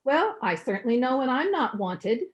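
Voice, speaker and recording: A woman's voice: sits high, very animated, normal speed. A woman as haughty older mother-in-law, nosy, fussy sing-song swoops, radio comedy, dull lo-fi call sound. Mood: huffy, disapproving. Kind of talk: old-time radio sitcom acting. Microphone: home microphone over video call. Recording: dull, lo-fi internet call recording.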